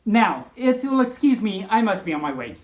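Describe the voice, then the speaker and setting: Woman doing young storybook girl, indignant, preachy, declamatory, swooping pitch, echoey room. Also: sing-song, moderate pace, close to an everyday voice. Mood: prim, offended. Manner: declamatory, lecturing.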